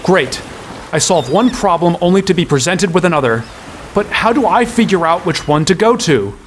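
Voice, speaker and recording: A man's voice: high-pitched, expressive, moderate pace, slight background noise. An actor playing an exasperated, sarcastic young hero who narrates his own quest in a fantasy audio drama. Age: young adult. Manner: wry, exasperated. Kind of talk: first-person audio drama narration and dialogue.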